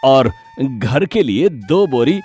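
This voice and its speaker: A shrewd village moneylender from a cartoon moral story, acted by a man. He is condescending and outwardly genial, with wide melodic swings at a slow pace. Faint music plays behind him. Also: medium pitch, sing-song, warm tone, breathy edge, heavily acted. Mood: falsely genial. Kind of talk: animated Hindi moral story dialogue.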